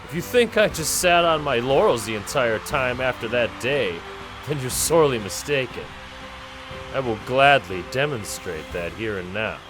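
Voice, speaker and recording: A man's voice: medium pitch, lively intonation, moderate pace, lightly acted. A man playing an earnest, determined young team captain in a visual novel. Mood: defiant. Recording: voice over background music.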